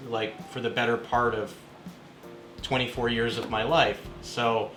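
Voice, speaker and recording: A man in his forties from Canada, telling stories in a relaxed conversational way with plenty of fillers, as an interview guest in an apartment. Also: medium pitch, unhurried, echoey room, faint music behind, poor audio. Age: 40s. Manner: relaxed storytelling with frequent fillers. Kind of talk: casual conversation. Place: apartment room.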